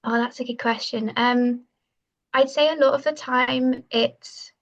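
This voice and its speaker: A woman's voice: on the high side, dull audio. A young woman from West Wales, chatting as a podcast guest and filling her talk with "um", "like" and "kind of". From Pembrokeshire, West Wales. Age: young adult. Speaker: guest, university bird society president. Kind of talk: podcast interview.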